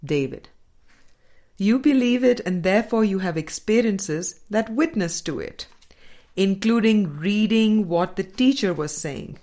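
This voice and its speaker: A woman reading a spiritual book aloud as scripted narration, in a deliberate, measured manner with pauses between phrases. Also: mid-range voice, expressive, mellow. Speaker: audiobook reader.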